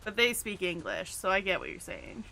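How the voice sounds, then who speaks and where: A woman's voice: mid-range voice, normal speed, sharp tone, a little hiss or hum, rough recording. A woman streaming a video game, chatting casually and playfully, often laughing at herself. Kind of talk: casual gameplay commentary.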